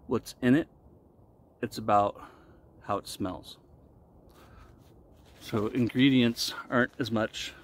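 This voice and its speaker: Low-voiced man talking outdoors. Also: a bit bright. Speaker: vlogger reviewing shaving products. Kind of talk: casual explanatory talk to camera while shaving. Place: outdoors in a wooded park clearing. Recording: noisy outdoor ambience. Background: outdoor ambient noise.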